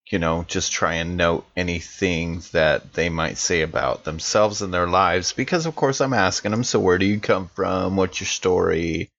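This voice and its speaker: A man with a deep, low voice talks casually as a player at a tabletop game. The recording is dry and a little muffled. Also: fairly bright tone, no room sound.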